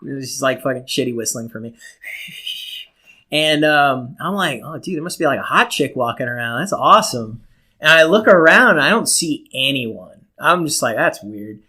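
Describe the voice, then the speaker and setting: A man's voice: medium pitch, lively intonation, normal speed, sharp tone. A young American man co-hosting a podcast, telling profane, comic stories casually and acting out the dialogue as he goes. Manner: rambling, acts out quoted dialogue, laughs at his own stories.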